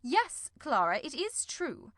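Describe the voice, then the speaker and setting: A woman playing a sharp, scolding housekeeper. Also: high voice, sing-song, takes it slowly, voice pushed up, heavily acted. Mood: stern. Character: stern prim middle-aged housekeeper woman. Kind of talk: audiobook character voice.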